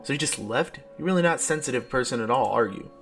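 A man's voice: mid-range voice, expressive, fast talker, a bit bright. A man voicing an aggrieved, indignant teenage schoolboy, a spurned boyfriend in a visual novel, in an accusing manner. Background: background game music.

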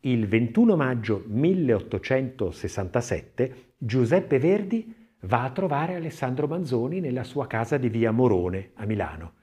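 A man narrating an audiobook from a script in a measured, theatrical reading manner. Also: mid-range voice, expressive, dark tone, slightly rough.

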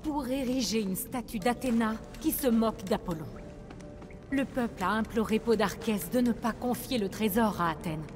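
A proud, shrewd woman leading a rebellion as an actress plays her, speaking fairly high over loud music. She sounds earnest and firm, like someone used to persuading fighters in a video game drama. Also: normal speed, close to an everyday voice. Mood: grave, resolute. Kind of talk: video game voice acting in French.

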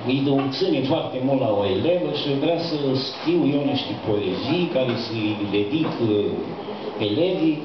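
A man's voice: mid-range voice, animated. Man telling anecdotes in an echoey room. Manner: storytelling, hesitant with fillers. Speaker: poet. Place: cafe room with live audience. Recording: echoey, distant, dull, noisy room.

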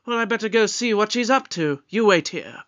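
Brisk, authoritative space detective in an audio drama. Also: medium pitch, lively intonation, fairly bright tone, lo-fi sound, slight background noise, lightly acted. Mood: exasperated.

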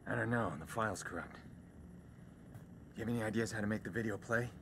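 Casting: brooding game vigilante hacker, low gravelly voice, guarded and terse, some background noise. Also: male voice, fast. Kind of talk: cinematic video game acting.

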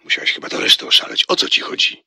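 A man playing a curt, haughty, irritable inventor with a rough, gravelly voice. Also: fast, sharp tone, no room sound. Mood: angry scorn. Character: curt, scornful male inventor on the telephone.

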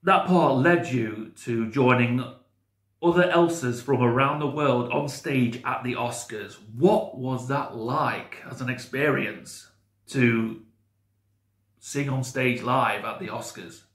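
A man's voice: mid-range voice, slow pace, a bit bright. A man in his forties hosting an interview, reading out scripted questions from a desk at home. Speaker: interviewer host. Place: home room with Christmas decorations. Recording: echoey room.

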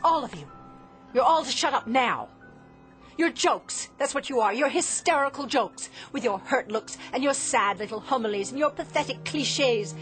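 An actress playing an inventor's desperate, defiant grown daughter in a radio drama, impassioned and theatrical in delivery. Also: female voice, sits high, lively intonation, moderate pace, fairly bright tone, soft background music. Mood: angry, scornful.

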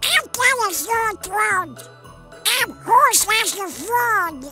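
A performer voicing reluctant, whiny young boy ducklings with a squeaky quack in a cartoon comic dub. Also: animated, takes it slowly, bright, forceful tone, full character voice. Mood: whiny excuses. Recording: music behind the voice.

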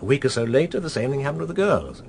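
A man's voice: mid-range voice, sing-song, very fast, darkish tone, slight background noise. An actor with a British accent playing a wry, knowing man in a radio drama, speaking in a clipped, dry way. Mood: explanatory. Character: wry, knowing man briefing an old acquaintance.

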